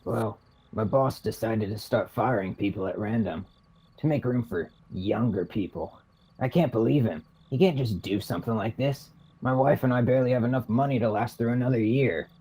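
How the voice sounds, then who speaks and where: A man's voice: medium pitch, normal speed, mellow, husky. A young man playing a resigned, chummy married neighbour in a radio play. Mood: disgruntled. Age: young adult. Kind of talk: radio theatre acting.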